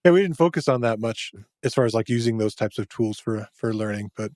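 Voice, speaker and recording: A man's voice: on the low side, animated, brisk, darkish tone, no echo. Give me an upbeat male podcast host asking questions, with a dry, close sound. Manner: upbeat, affirming, asks open questions. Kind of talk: casual interview chat.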